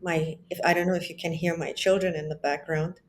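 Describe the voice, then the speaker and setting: A woman's voice: on the low side, little pitch movement, brisk. A woman in her forties, a psychologist who grew up in Germany, speaking reflectively as an interview guest over a remote call from home. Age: 40s. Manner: reflective, with frequent restarts and asides. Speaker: psychologist and business founder, guest. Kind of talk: casual interview conversation. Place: home, children in the background.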